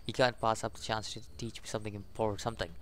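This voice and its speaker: Young man reading game text haltingly. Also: on the low side, flat delivery, fairly bright tone. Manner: halting reading, stumbles over words. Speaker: gaming YouTuber. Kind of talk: casual let's play, reading game text aloud with commentary.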